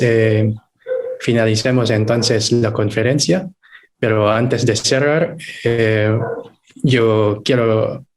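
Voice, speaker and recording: Man, fairly low voice, webinar moderator, polite formal delivery, frequent hesitations, reading comments and closing remarks. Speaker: webinar host, embassy representative. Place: online video call webinar.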